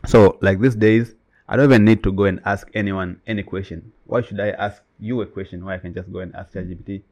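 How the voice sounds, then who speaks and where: A man's voice: low-pitched, steady tone, a little fast, darkish tone. A man whose first language is Swahili, co-hosting a casual chat and talking emphatically with many "you know"s. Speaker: co-host.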